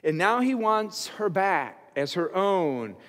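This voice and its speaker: A man in his sixties, a pastor, preaching an expository sermon from a church pulpit, earnest and rising in pitch and volume on key points. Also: very animated, slow pace, fairly bright tone. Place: church stage behind a pulpit. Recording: long hall reverb of a church sanctuary.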